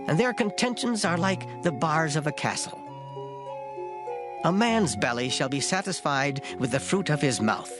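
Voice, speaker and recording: A man reads scripture as a dramatic performance, solemn and declamatory. His intonation rises and falls expressively, and plucked string music plays clearly behind him. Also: fairly high pitch. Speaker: scripture reader. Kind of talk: dramatized Bible reading.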